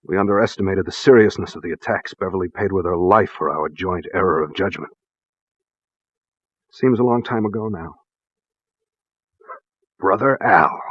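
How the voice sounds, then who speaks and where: A man playing a grave, haunted husband in a 1940s radio drama, with a measured, formal, slightly husky delivery. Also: deep voice, dry recording. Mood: brooding, troubled. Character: grave, secretive husband haunted by his mad brother. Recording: dull, dry vintage radio recording.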